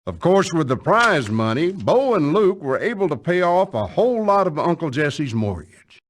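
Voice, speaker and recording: An actor playing a wry, folksy country storyteller with an American Southern accent, narrating a scripted tale. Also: male voice, a little high, sing-song, moderate pace, a little hiss or hum. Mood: amused, folksy.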